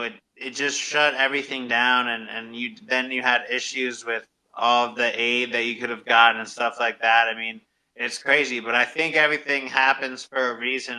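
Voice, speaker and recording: Very bright, forceful American male host. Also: mid-range voice, rough recording. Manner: upbeat, encouraging, slangy. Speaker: radio show host. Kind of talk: casual live audio show hosting.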